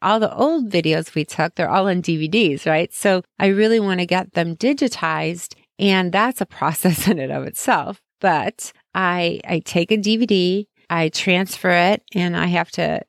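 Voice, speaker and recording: A woman's voice: lowish voice, measured pace, gravelly, hardly any pauses, no room sound, studio quality. A woman hosting a casual solo podcast, chatty and encouraging, her voice strained as she says she is losing it. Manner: chatty and encouraging, friendly asides. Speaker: podcast host.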